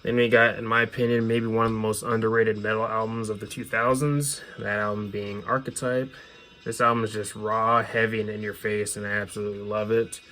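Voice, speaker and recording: Flat, forceful man casually presenting a collection. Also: on the low side, a little fast, faint music behind, low-quality recording. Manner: casual, opinionated fan talk. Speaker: host presenting their music collection. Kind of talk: casual show-and-tell commentary.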